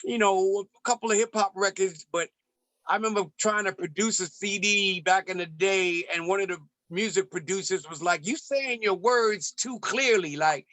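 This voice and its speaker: A middle-aged man with a bright, forward voice that sits a little high, talking through his past as a guest in an interview. His speech moves in a rhythmic pattern with crisp, careful pronunciation, shaped by growing up with French. The sound is close and dry. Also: normal speed, no echo. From United States, raised in Ethiopia. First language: French-influenced; relearned English as a teen. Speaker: interview guest, performance poet and musician. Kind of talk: reflective interview conversation.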